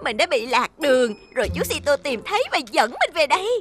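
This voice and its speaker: A sweet, naive girl bus from a cartoon. Her voice is very high and swoops in a lively sing-song, gushing with admiration and quick to worry. Loud cartoon music plays underneath. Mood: excited. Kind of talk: Vietnamese cartoon dub.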